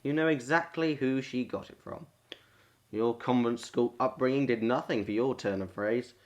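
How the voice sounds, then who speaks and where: A man's voice: mid-range voice, normal speed, dull audio, close to an everyday voice. A man playing a restless, blunt farmer and father in a wartime audio play. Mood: exasperated.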